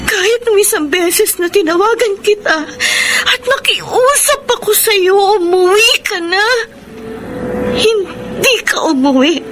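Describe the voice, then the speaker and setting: A woman's voice: extremely high pitch, expressive, slow, fairly bright tone, a little hiss or hum, exaggerated voice. An actress playing an emotional, bitter young woman and new mother in a Filipino radio drama. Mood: hurt, reproachful. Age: young adult.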